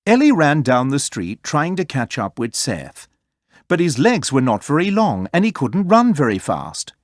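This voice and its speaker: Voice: mid-range voice, big pitch swings, normal speed, no echo, clean studio sound. A storyteller reading a children's story aloud as scripted narration.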